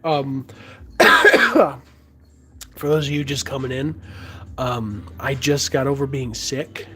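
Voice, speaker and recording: Hoarse, slow-talking man streaming. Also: medium pitch. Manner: halting, many fillers, answers chat as he works. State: hoarse, raspy voice after being sick. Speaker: livestreamer sculpting and chatting with viewers. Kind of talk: casual livestream chat. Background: music playing softly.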